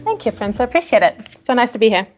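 A woman's voice: a little high, very animated, quick pace, lo-fi sound, music in the background. A woman in her twenties from Austria, with an accent mixing Austrian and New Zealand tones, chatting as a podcast guest, often laughing and restarting her sentences. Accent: Austrian with a New Zealand tinge. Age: 20s. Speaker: podcast guest, travel photographer. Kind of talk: casual interview chat.

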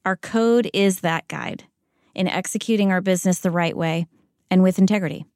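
A middle-aged woman from Spain with an American accent, answering interview questions as a corporate executive in a measured, deliberate way. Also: lowish voice, a little mellow, no echo, studio quality. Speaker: corporate chief integrity officer.

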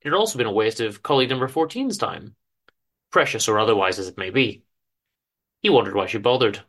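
A man giving a dramatic reading of a short story, in a dry, measured, formal manner. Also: fairly low pitch, animated, a bit bright. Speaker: author-narrator reading his own story on a podcast.

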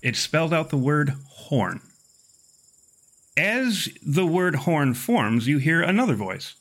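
A man running a tabletop horror game, narrating in a wry, wordy, deliberate way with dramatic pauses. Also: a little high, very animated, fairly bright tone. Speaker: game master.

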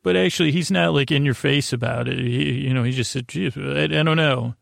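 A man hosting a sleep podcast with a rambling, soothing bedtime monologue, halting and often trailing off or doubling back on his words. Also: medium pitch, creaky, dry recording, studio quality. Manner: meandering, halting, often trailing off and repeating himself; lulling, soothing.

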